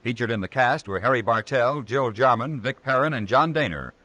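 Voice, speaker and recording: A man's voice: mid-range voice, animated, a little fast, sharp tone, no room sound. A man with an American accent reading scripted credits as a formal, emphatic 1950s radio announcer.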